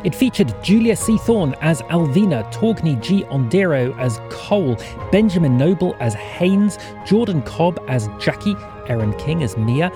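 A fairly high male voice with lively intonation, reading scripted credits as an announcer. Music plays clearly behind him.

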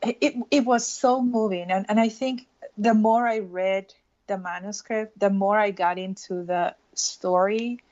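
A woman's voice: medium pitch, fairly even intonation, unhurried, a little mellow, lo-fi sound. A woman from Peru, an illustrator speaking earnestly in an interview and often restarting her phrases. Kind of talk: casual interview.

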